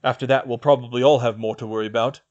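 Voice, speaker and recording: A man's voice: medium pitch, steady tone, fast talker, a little mellow, highs cut off, close to an everyday voice. A man voicing a modest teenage boy who speaks plainly and hesitantly. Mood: earnest.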